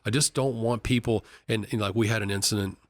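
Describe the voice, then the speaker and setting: An earnest executive from Kentucky talks in a casual podcast interview, his voice sitting a little low. He often trips over his words and restarts his sentences. The sound is dry and close, with no room echo. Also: fairly even intonation, fast talker. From Kentucky, USA. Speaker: company executive vice president. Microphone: studio podcast mic.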